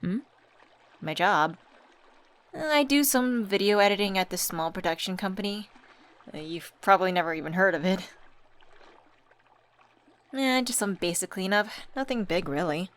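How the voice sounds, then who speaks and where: A woman's voice: medium pitch, lively intonation, normal speed, long pauses, natural voice. A young woman acting as a teasing, affectionate old friend in a casual ASMR comfort roleplay. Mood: fond, casual. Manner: playful, teasing, familiar slangy chat.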